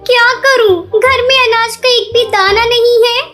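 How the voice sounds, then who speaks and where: Tiny, very high-pitched girl bird. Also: steady tone, a little slow, pushed and bright, full character voice. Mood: sad, worried. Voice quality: high, childlike. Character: young orphaned girl bird, sweet, plaintive, dutiful. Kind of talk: Hindi cartoon moral story acting. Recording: music behind the voice.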